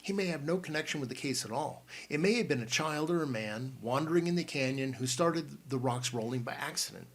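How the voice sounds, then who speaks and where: A man's voice: medium pitch, expressive, a little fast, few pauses. A man reading an audiobook and voicing a bookish teenage boy who leads the group and speaks in formal, precise words.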